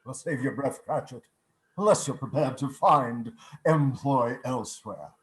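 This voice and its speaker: An actor playing a bitter, scornful old miser in a radio play, snapping and declaiming. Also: male voice, mid-range voice, measured pace, close to an everyday voice. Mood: curt, threatening. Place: remote video call reading.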